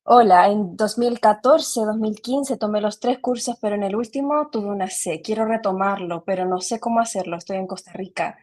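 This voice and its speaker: Brief: woman, Spanish speaker, medium pitch, casual bilingual livestream panelist. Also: fast talker, few pauses, a little mellow. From Chile. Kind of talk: casual introduction and question answering.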